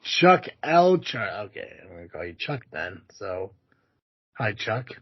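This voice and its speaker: A man's voice: lowish voice, big pitch swings, a little slow, breathy edge. A man co-hosting a casual podcast, sarcastic and swearing freely. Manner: sarcastic, profane. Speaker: podcast co-host. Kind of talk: casual chat. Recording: dull lo-fi podcast audio.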